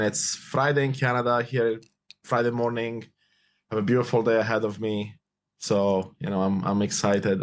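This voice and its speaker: A man in his forties, from Romania with Romanian as his first language, talking upbeat and reflective as an interview guest, often repeating phrases. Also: on the low side. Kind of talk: casual interview conversation.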